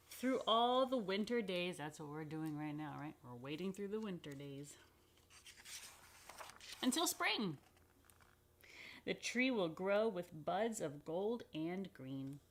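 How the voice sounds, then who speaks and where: A woman reading a picture book aloud to children, expressively and unhurriedly, with dramatic pauses and chatty asides. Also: fairly low pitch, big pitch swings, slight background noise. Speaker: rabbi reading a children's story. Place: indoors.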